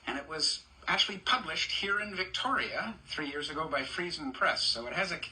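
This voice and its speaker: A man's voice: a little high, animated, normal speed, bright, forceful tone, low-quality recording. An older man reading a picture book aloud to children, breaking off to chat, ask questions and point out the pictures. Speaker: storybook reader.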